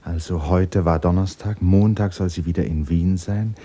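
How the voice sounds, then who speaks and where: An actor as a grave, intimate storyteller, narrating a literary radio play in a measured, hushed way. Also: male voice, deep voice, steady tone.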